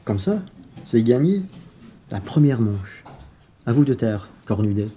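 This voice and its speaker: A man's voice: mid-range voice, sing-song, a little slow, mellow, slight background noise. A man playing a crafty, self-serving merchant husband in a radio play, familiar and cajoling in manner. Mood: jovial.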